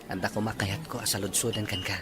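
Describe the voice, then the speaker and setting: A man acting a sincere young working man in love in a radio drama, soft-hearted and earnest. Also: fairly low pitch, level tone, fast, a loud crowd, lightly acted. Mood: modest, hopeful.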